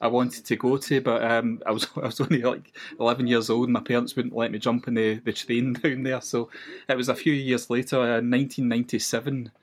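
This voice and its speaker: A man's voice: mid-range voice, little pitch movement, fairly quick. Scottish podcast guest in his thirties, easygoing storyteller. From west coast of Scotland. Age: 30s. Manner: chatty, reminiscing with frequent restarts and laughs. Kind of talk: casual chat.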